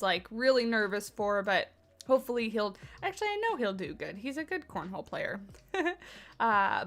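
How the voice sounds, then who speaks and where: A woman's voice: a little high, a bit bright. Chatty woman with a slight cold. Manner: chatty, rambling, self-deprecating. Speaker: game livestreamer. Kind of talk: casual chat with live chat. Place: home office.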